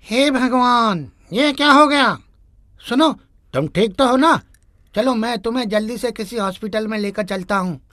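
A woman voicing an anxious, caring male motorist in an animated moral story. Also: on the high side, normal speed, no room sound, studio quality, natural voice. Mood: alarmed. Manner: urgent, caring.

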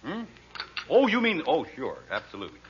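A man's voice: on the high side, wildly swooping pitch, a little fast. An actor playing a grumbling, blustery middle-aged family father in a 1940s radio comedy. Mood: flustered. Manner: blustery, emphatic. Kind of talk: 1940s radio sitcom acting. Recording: dull, noisy 1940s radio recording.

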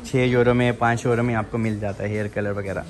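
A man's voice: lowish voice, little pitch movement, fairly quick. A man, talking casually to viewers on his phone camera as he walks through a large supermarket, repeating "theek hai" often. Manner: chatty, addresses viewers as "janab", says "theek hai" often. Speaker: vlogger. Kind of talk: casual walk-through vlog. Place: large supermarket in a shopping mall, indoors. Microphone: handheld phone camera. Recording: noisy store background.

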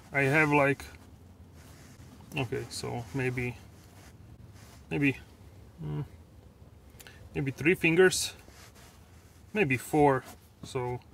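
A man's voice: on the high side, vocal fry, slight background noise. Man talking casually inside a car. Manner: relaxed, halting, many fillers and restarts. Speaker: car reviewer. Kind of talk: casual walkaround car review. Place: inside a parked car, then outdoors at a dealership lot. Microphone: handheld phone camera.

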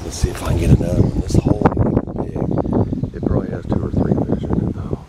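A middle-aged man giving casual, excitable commentary while fishing a creek bank, recorded outdoors on a wearable action camera. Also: sits low. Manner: excitable, bursts of exclamations when a fish bites. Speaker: fishing vlogger. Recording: loud wind and water noise on a camera mic. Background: wind and rushing water.

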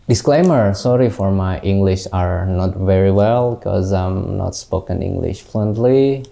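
A young Indonesian man with an Indonesian accent, a tattoo artist, unboxing gear in halting English, recorded on a lapel mic in a small studio. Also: deep voice, gravelly, dark tone. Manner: unhurried, halting non-native English with many fillers. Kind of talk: casual product unboxing.

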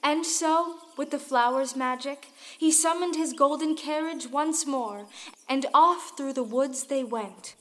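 A woman narrating a scripted folktale in an expressive, dramatic way. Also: sits high, unhurried. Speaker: storyteller. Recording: music under the voice. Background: music.

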